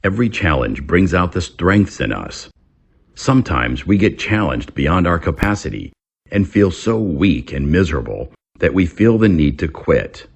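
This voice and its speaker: A man reading an English lesson aloud in a deliberate, instructional way, as a teacher does. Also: sits low, sing-song, creaky, slightly rough.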